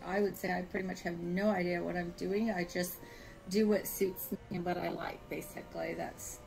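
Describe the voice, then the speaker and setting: A woman's voice: fairly low pitch, little pitch movement, moderate pace, vocal fry, a little mellow, soft background music, poor audio. A woman from California with an American accent, chatting informally and chuckling as she gives a tour of a world she built. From California, USA. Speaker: virtual world builder giving a tour. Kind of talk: casual tour chat.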